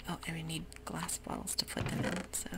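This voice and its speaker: A woman whispering soft, hushed ASMR narration over gameplay at home, with frequent ums, as keyboard clicks sound behind her. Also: deep voice, completely flat delivery, fairly quick, vocal fry, heavy background noise. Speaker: ASMR gaming creator.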